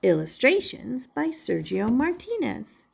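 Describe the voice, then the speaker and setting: Children's story narrator, older female: unhurried, deliberate, very expressive pitch movement. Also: vocal fry, slightly rough. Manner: deliberate, repeats phrases for young listeners. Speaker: storybook reader.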